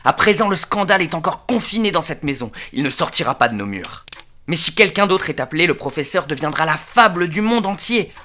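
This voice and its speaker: A man's voice: on the high side, animated, fairly quick, sharp tone. A man playing an anxious, pleading secretary. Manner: urgent, pleading.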